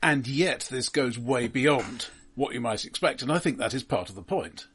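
Male co-host, husky mid voice, dry wry interjections. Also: medium pitch, lively intonation, normal speed, a bit bright. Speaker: podcast co-host. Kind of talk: casual film discussion.

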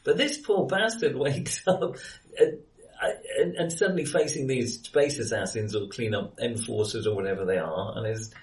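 A man's voice: mid-range voice, moderate pace, darkish tone. A man in a casual film discussion, speaking as an enthusiastic, opinionated co-host who often rephrases as he goes. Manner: hesitant, rephrases often, chuckles while talking. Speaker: podcast co-host reviewing films. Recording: roomy echo, distant microphone.

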